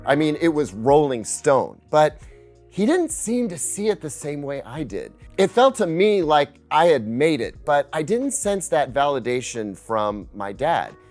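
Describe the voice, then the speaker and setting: A middle-aged American man presents a personal scripted story as a reviewer, earnest and confiding, with dramatic pauses. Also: a little high, a little mellow. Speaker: tech and speaker reviewer, video host.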